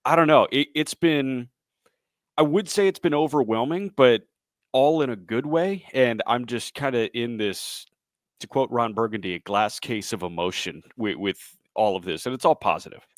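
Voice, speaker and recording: A man's voice: medium pitch, moderate pace, dry recording, clean studio sound. A man in his late twenties with an American accent, a sports broadcaster answering casually as an interview guest.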